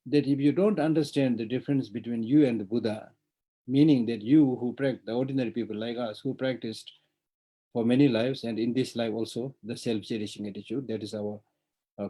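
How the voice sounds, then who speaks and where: A middle-aged man with a Tibetan accent giving a Buddhist dharma teaching, stressing points by repeating them and laughing between them. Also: mid-range voice. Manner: emphatic, repetitive, laughs warmly between points. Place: remote virtual teaching.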